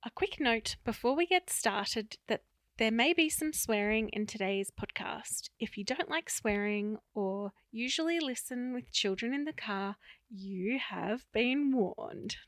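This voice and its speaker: Host: woman, upbeat patter, fairly high voice, dry close mic. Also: expressive, unhurried, fairly bright tone, dry recording. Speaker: podcast host. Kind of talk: casual interview hosting.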